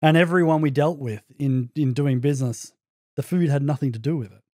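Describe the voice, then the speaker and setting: An Australian man speaks as a podcast guest, thinking aloud and searching for his words as he tells his story. His voice is mellow and relaxed. The recording is close and dry, with no room sound. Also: fairly high pitch, a little fast, no room sound. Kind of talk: casual conversation.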